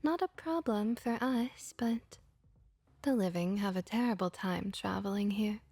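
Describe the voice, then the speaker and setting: A woman playing a friendly, comforting vampire innkeeper in a soft-spoken ASMR roleplay, gentle, reassuring and often whispery. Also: medium pitch, unhurried, breathy edge, no room sound. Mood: soothing, reassuring.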